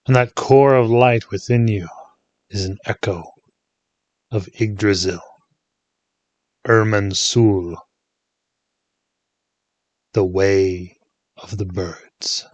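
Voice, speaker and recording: A man leading a guided meditation in a soothing, hushed manner, leaving long pauses between short phrases. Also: low voice, fairly even intonation, dry recording.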